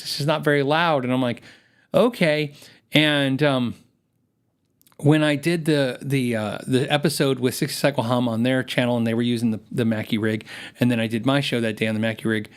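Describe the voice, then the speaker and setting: A man hosting a gear livestream, chatting casually with viewers, rambling with many restarts and reading out viewer comments. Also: fairly high pitch. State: voice worn from long shows.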